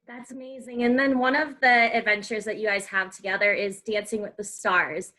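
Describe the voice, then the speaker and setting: A woman's voice: mid-range voice, fairly even intonation, moderate pace, sharp tone. A woman hosting a podcast, asking questions in a casual, enthusiastic and affirming way. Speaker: podcast host, interviewer. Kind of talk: casual interview chat.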